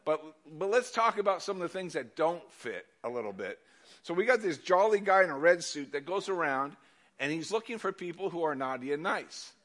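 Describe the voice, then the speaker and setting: Older male pastor, loud and animated, bright forceful tone, slightly high voice. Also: brisk. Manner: animated, loud storytelling with asides. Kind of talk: sermon.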